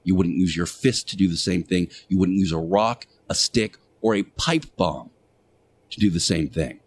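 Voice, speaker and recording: An earnest religious teacher played by a man delivers a radio sermon in a fairly low voice. He is preachy and admonishing, leaning on key words with deliberate pauses and repetition. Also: a little hiss or hum, close to an everyday voice. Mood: grave, insistent. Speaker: radio show host. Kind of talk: radio monologue, moral sermon.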